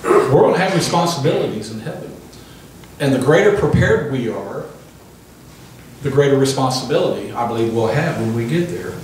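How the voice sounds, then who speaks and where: A man's voice: mid-range voice, lively intonation, normal speed, darkish tone, a little hiss or hum. Older man preaching in an echoey hall. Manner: emphatic preaching with call-and-response amens. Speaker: pastor. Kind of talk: sermon. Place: small church hall, indoors. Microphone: handheld microphone. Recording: echoey room from a distant camera.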